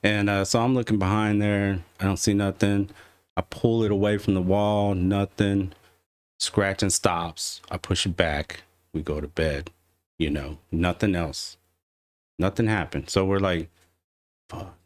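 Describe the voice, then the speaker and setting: Deep-voiced guy on a podcast, laid back and joking, dry mic. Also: fairly even intonation, unhurried, no room sound. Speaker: podcast co-host. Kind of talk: casual storytelling chat.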